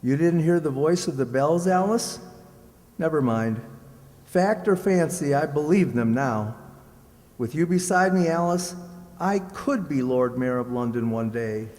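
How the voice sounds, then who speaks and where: A man's voice: on the high side, expressive, a little mellow. Man acting an earnest, lilting storybook lad. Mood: dreamy. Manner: halting, stammering. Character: humble, earnest, lovestruck young lad from folktale. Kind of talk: stage-like radio play acting. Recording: echoey hall.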